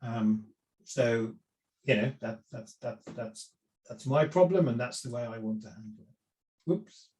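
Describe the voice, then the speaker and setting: A man's voice: medium pitch, a little slow, a little mellow, poor audio. A middle-aged man giving a seminar talk on property investment, conversational, with frequent fillers and restarts. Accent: none Welsh. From lived in South Wales for years.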